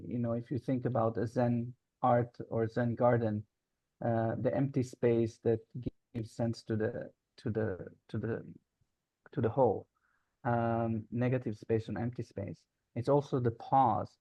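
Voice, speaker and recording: A man's voice: medium pitch, darkish tone, dry recording, poor audio. Reflective man. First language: Hebrew. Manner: reflective, searching for words with frequent pauses. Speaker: guest, social arts facilitator and founder. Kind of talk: casual interview conversation.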